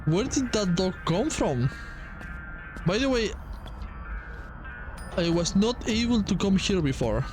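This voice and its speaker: Male gamer, high voice, casual muttered play-by-play over game music. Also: sing-song, slow pace, long pauses. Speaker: video game streamer. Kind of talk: let's play commentary, reading game dialogue aloud.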